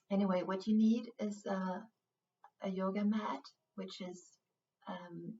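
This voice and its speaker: A Swedish-accented woman guiding a beginner yoga class, her words unhurried and broken by pauses. She sounds set back from the mic in a roomy, reverberant space. The recording is dull and muffled, with a faint hiss underneath. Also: medium pitch, a little mellow, recorded from a distance. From Sweden. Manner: slow, patient, with many hesitations. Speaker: yoga instructor. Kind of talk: guided yoga class instruction.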